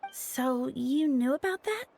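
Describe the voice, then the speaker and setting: A lovestruck young townswoman from a video game, soft and wistful. Her high voice moves slowly and hesitantly. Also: animated, vocal fry, faint music behind, exaggerated voice. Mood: hesitant, reflective. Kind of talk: video game character acting.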